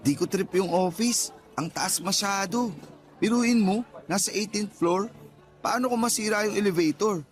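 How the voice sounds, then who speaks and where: An actor playing a defensive, teasing, flippant young boyfriend with a Filipino accent in a radio drama, whining out excuses. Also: male voice, high voice, normal speed, lightly acted. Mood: stubborn, flippant. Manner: whiny, flippant excuse-making. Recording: background music under the voice.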